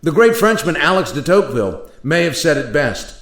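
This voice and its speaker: A man playing an earnest, formal statesman from centuries past in a radio play, with measured, oratorical delivery. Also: a little high, sing-song, a bit bright. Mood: solemn, oratorical. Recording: roomy, echoing sound.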